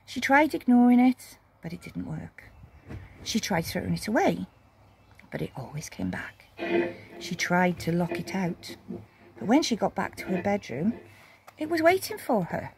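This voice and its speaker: A woman reading a children's story expressively, with dramatic pauses and emphasis. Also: mid-range voice, huge pitch swings, noisy background.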